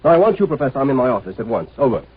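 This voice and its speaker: A brusque military marshal, tinny old radio. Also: male voice, medium pitch, big pitch swings, rapid-fire, slightly rough. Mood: brusque, impatient. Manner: curt, authoritative, impatient. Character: brusque, commanding male galactic military marshal. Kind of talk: 1950s radio drama acting. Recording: noisy, tinny 1950s radio recording.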